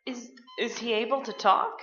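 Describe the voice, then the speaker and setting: Actress as worried, pressing lady of vintage radio drama; high, bright voice in an echoey space. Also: normal speed, natural voice. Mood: anxious. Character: anxious, insistent woman awaiting an answer. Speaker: stage radio actor. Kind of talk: 1940s suspense radio acting. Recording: echoey, muffled, distant stage mic.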